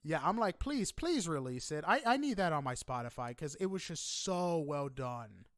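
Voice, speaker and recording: Higher-voiced man, enthusiastic podcast host. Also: sing-song, moderate pace, dry recording. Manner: enthusiastic, chatty, emphatic. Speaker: podcast host interviewing a guest. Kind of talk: casual conversational interview.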